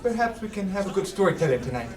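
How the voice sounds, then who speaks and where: Casting: man as jolly fantasy innkeeper, very high male voice, eager to please, recorded slightly off-mic. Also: big pitch swings, racing, far from the mic, a crowd in the background. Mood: obliging. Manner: folksy, effusive, slightly flustered. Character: jovial short round innkeeper, eager to please. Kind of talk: fantasy audio drama acting. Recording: roomy echo, off the mic.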